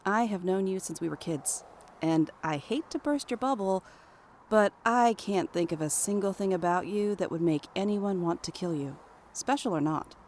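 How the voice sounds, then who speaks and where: A woman's voice: medium pitch, normal speed, darkish tone, lightly acted. Female best friend, played by an actress, young. Blunt, skeptical, caring, naturalistic drama dialogue with faint ambient noise. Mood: incredulous. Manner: blunt, incredulous, conversational.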